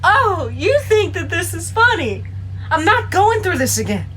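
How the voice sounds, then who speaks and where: A woman's voice: very high-pitched, sing-song, measured pace, a bit bright, a little hiss or hum, heavily acted. A young woman playing a jealous, suspicious girlfriend in a naturalistic radio play, blunt and confrontational. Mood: accusing.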